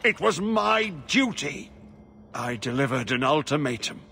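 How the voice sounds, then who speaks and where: Casting: weary old warden for fantasy game; urgent, cryptic, halting, slow, with swooping gravelly delivery. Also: male voice, medium pitch, sing-song, sharp tone, a little hiss or hum, heavily acted. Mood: defensive. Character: weary, haunted old former warden commander. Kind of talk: fantasy video game acting.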